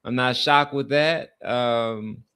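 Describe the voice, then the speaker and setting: A man's voice: mid-range voice, slow, sharp tone, clean tone. A man co-hosting a sports podcast, musing conversationally and repeating phrases for emphasis. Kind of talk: casual sports commentary.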